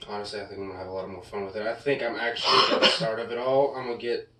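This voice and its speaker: A man's voice: on the low side, little pitch movement, very fast. A young man co-hosting a casual podcast, laid-back and joking, full of slang. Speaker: podcast co-host. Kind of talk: casual chat. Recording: roomy echo, distant mic, faint hiss.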